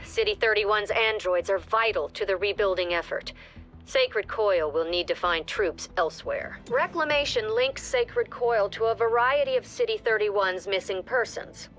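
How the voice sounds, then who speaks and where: A woman voices a game's briefing officer who speaks in crisp, clipped sentences. Her voice sits mid-range and stays level. Soft score music plays underneath. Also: mid-range voice, moderate pace, natural voice. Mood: calm. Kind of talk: game cutscene voice acting.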